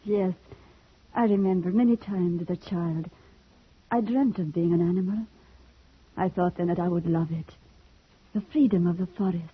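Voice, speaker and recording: An actress playing a gentle, dreamy young wife, demure and soft-spoken, in old-time radio drama style. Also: female voice, lowish voice, moderate pace, pauses often, lightly acted. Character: gentle, dreamy young Slavic wife who loves forests. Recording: dull old radio recording, faint noise.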